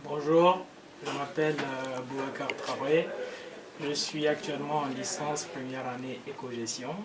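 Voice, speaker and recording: A man's voice: medium pitch, steady tone, unhurried, noisy background. A young man, a student briefly introducing himself in an interview. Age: young adult. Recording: echoey room, distant mic, loud crowd.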